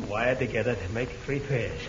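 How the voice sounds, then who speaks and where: An actor playing a slangy, wry, grumbling but loyal military explosives expert in an old-time radio adventure. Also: male voice, medium pitch, moderate pace, lightly acted. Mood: wry, businesslike. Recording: muffled, hissy old radio recording.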